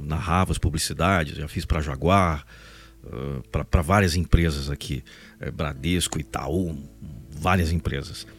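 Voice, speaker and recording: A man in his forties with a Brazilian São Paulo state accent, telling stories expressively and emphatically in a casual podcast conversation. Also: low voice. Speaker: podcast host, voice-over announcer and actor.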